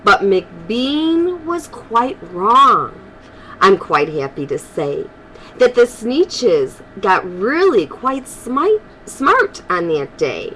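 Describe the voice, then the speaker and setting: An older woman reading a storybook aloud to children, animated, pausing for emphasis. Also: mid-range voice, a little hiss or hum, rough recording. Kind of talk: expressive storybook read-aloud.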